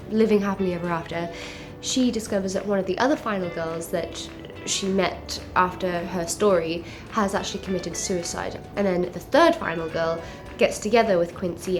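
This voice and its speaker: A woman with a mixed South African and English accent, stuffy and nasal from a cold, chatting enthusiastically about books to camera. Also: on the low side. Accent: mix of South African and English. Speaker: book vlogger. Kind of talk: casual book chat to camera.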